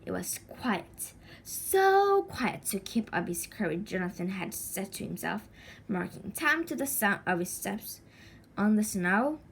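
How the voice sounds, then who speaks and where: A woman reading a children's story aloud in an expressive, deliberate way with a sing-song lilt. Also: mid-range voice, vocal fry, slight background noise. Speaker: storybook reader.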